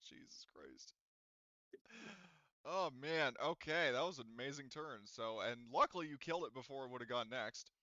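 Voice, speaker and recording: A man runs a tabletop game for his players, laughing easily and talking the rules through out loud as he goes. His voice is bright and crisp, recorded close and dry. The sound is slightly dull and lo-fi. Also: medium pitch, normal speed, dry recording. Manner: laughs often, thinks aloud while running rules. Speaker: game master. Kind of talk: running a tabletop game online.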